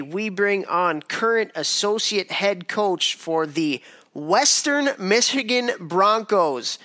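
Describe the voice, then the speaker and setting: A man's voice: sits high, sharp tone, dry recording. A man hosting a hockey podcast, upbeat and laughing often in casual chat. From went to Cornell. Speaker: podcast host.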